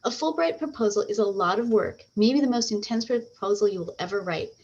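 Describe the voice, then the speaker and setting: A woman's voice: mid-range voice, animated, fairly quick, darkish tone. Upbeat woman advisor, dull lo-fi sound. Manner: upbeat, uses filler ums. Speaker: fellowship advisor. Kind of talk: online info session presentation. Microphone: video call.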